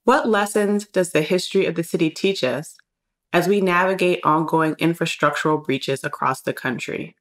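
A woman's voice: fairly low pitch, normal speed. A woman host reading scripted narration for a discussion series. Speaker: podcast host.

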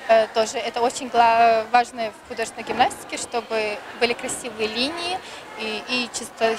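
A woman's voice: fairly high pitch, background noise. A woman in her thirties, an official, answering an interviewer in a busy sports hall. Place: large indoor sports hall. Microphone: handheld reporter microphone.

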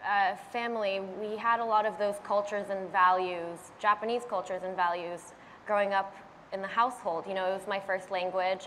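A young woman answers from a panel stage, soft-spoken and unhurried, pausing on fillers. Her voice sits in the middle range. A faint hiss runs beneath it. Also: medium pitch. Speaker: actress on a press panel. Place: stage of a large event hall.

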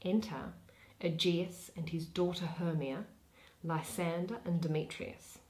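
A woman's voice: on the low side, fairly even intonation, measured pace, a little mellow. A young adult woman hosting a play reading, introducing it and reading stage directions. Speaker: host and reader.

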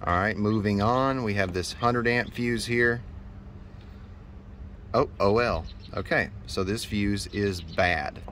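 A man's voice: on the low side, takes it slowly, a bit bright. American man, noisy how-to vlog. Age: 30s. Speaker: HVAC technician and video host. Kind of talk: informal instructional vlog to camera. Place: outdoors by a building and in utility spaces. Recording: clear background noise, outdoors and utility room.

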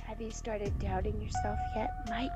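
A woman voicing a sinister, wounded man in a visual novel, mocking in halting, half-whispered taunts. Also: medium pitch, little pitch movement, over loud music, lightly acted.

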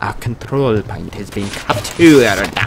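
A man's voice: lowish voice, expressive, slow, gravelly, noisy background. A man giving casual game commentary, grumbling, blurting exclamations and mimicking game lines. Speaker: gamer making a gameplay video. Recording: game music and sound behind voice. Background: video game music and effects.

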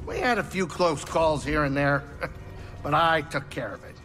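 A man playing a gruff, boastful little alien starship pilot in a video game, with a brash, folksy way of talking. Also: a little slow, over music, close to an everyday voice. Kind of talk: video game character dialogue. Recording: noisy ship engine rumble behind. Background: spaceship cockpit hum.

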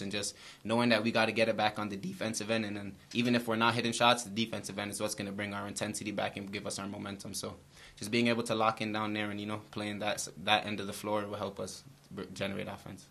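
A young man, a college basketball player, answering press questions after a game in a steady, repetitive way, speaking into a table mic in a small brick-walled room. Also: on the low side. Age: young adult. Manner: flat, steady, repeats phrases. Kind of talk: postgame press conference answers. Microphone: table mic in front.

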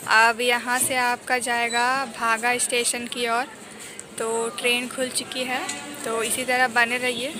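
A woman vlogger narrating a local train journey, pointing out stations to viewers. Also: fairly high pitch. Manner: points things out to viewers, repeats phrases. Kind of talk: travel vlog narration. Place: train doorway, moving local train. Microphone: phone camera. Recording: loud train noise behind voice. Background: rattling moving train.

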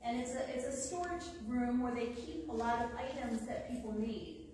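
A woman's voice: a little high, normal speed, mellow, slight background noise. A middle-aged woman making an unscripted announcement in a church, speaking in an earnest, chatty way without a microphone. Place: large church sanctuary. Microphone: unmiked, picked up from far off. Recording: echoey sanctuary from far off.

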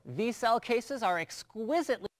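A man's voice: extremely high pitch, lively intonation, moderate pace, music in the background. A man presenting products in a scripted narration style, as in a studio video.

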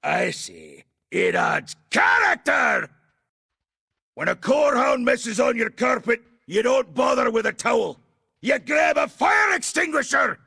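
A man voicing a gruff, fiery dwarf for a video game, with a raspy voice, a Scottish accent and a hearty, blustering delivery. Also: very high voice, very animated, unhurried, pushed and bright, raised voice, heavily acted. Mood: boisterous joking. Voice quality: gravelly, raspy. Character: gruff, fiery, boisterous male Dark Iron dwarf. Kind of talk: video game character voice lines.

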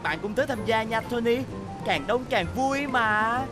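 A woman's voice: a little high, expressive, a little slow, over loud music. A woman voicing a cheerful little boy bus in a cartoon dub, friendly and inviting. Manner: friendly, upbeat.

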